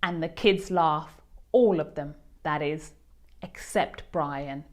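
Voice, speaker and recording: A woman reading a children's picture book aloud as a host, with expressive, deliberate storytelling. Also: low-pitched. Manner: animated, deliberate delivery for children.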